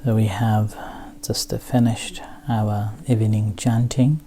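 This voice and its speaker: Slow, mellow male meditation teacher. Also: fairly low pitch, little pitch movement, slight background noise. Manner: halting, measured phrasing with long pauses. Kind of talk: guided meditation talk.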